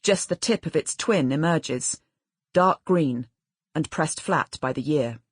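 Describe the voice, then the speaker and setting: Female audiobook narrator, low-pitched and husky, measured literary read with expressive delivery. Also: no room sound, studio quality.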